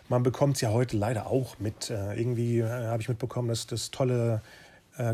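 A man's voice: mid-range voice, a little mellow. Man chatting casually on a podcast. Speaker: podcast host.

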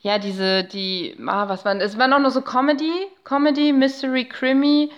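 A woman's voice: sits high, steady tone, normal speed, lo-fi sound. A woman speaking as a guest expert in a casual podcast chat, explaining animatedly and often restarting her thoughts.

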